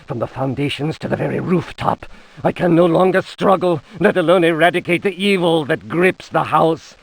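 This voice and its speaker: A man's voice: on the high side, normal speed, gravelly, no echo. An actor voicing a doomed diary writer in a horror game, theatrical and anguished as he slips into despairing madness. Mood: despairing, resigned.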